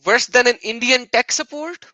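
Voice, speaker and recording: A man's voice: extremely high pitch, normal speed, pushed and bright, no echo. A man hosting an argumentative live stream on religion, often mocking and repeating phrases for emphasis.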